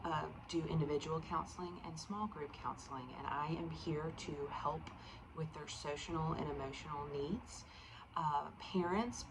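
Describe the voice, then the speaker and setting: Deep-voiced American woman over background noise. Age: 30s. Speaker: school guidance counselor. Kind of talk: informal introduction to parents and students. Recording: clear ambient background noise.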